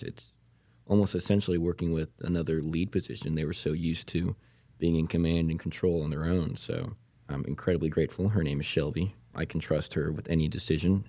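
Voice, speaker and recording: The low, dry-miked American man you would hear across a podcast table, calmly laying out his thoughts about work in measured, articulate sentences. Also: no echo. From Georgia, US. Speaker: guest paramedic. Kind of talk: casual podcast conversation.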